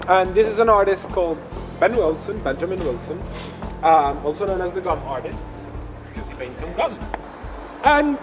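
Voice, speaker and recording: A man raised in Colombia, guiding a street art tour outdoors in a chatty, relaxed way. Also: sits high, low-quality recording. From born in Italy, raised in Colombia. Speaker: tour guide. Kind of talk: casual explaining on a walking tour.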